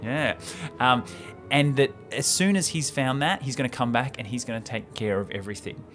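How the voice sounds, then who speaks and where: A young man talks as a podcast host, retelling a story informally and with enthusiasm. His voice is medium in pitch and close on the mic in a small room, with quiet music behind it. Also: normal speed. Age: young adult. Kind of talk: casual retelling of a film script. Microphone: close podcast microphone on stand. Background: faint music.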